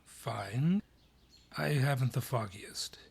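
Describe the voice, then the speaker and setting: A man acts out a sardonic forest dryad in a fantasy audio drama. He is gruff and world-weary, with a breathy, husky voice. He takes his time over every phrase, like a reluctant keeper of old lore. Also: mid-range voice, animated, takes it slowly, heavily acted. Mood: resigned, explanatory. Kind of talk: fantasy audio roleplay acting.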